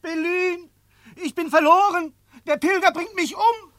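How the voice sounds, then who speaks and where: A terrified hare in a classic radio play. The voice is extremely high, sharp and wailing, each cry stretched out helplessly. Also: male voice, steady tone, a little slow, exaggerated voice. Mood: panicked. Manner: shrill, wailing cries. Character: terrified, helpless male hare shrieking for help. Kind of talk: classic German radio drama acting.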